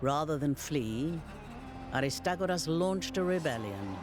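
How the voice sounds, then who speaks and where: Man as slightly high-pitched chronicle storyteller. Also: close to an everyday voice. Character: storyteller recounting an ancient war chronicle. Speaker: narrator. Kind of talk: scripted dramatic narration. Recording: loud music behind the voice. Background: loud music.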